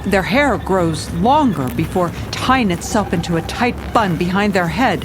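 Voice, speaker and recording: A woman's voice: on the low side, lively intonation, a little slow, music in the background. A woman playing a grave police commissioner, narrating her case in a dramatic noir style. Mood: grave, uneasy.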